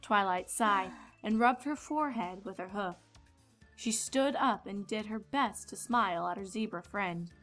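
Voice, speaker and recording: A woman's voice: mid-range voice, a little slow, a bit bright. A woman reading scripted storybook narration as the narrator. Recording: music behind the voice.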